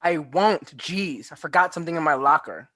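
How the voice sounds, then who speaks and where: A man's voice: fairly high pitch, lively intonation, normal speed, pushed and bright. An actor as an aggrieved, geeky teenage boy. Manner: indignant, complaining. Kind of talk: comedy script table read.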